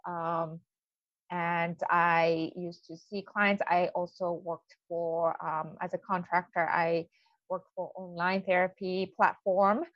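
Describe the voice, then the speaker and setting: Female life coach, 40s, Japanese accent, unhurried hesitant delivery, muffled lo-fi sound. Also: mid-range voice, a bit bright, no room sound. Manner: hesitant, many ums, enthusiastic. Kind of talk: webinar presentation.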